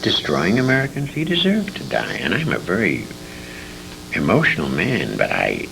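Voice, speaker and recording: A man's voice: deep voice, huge pitch swings, moderate pace, creaky. An older man reminiscing in an interview, an emphatic and opinionated storyteller who speaks with feeling. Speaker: interview guest, former radio performer. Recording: noisy amateur convention interview tape.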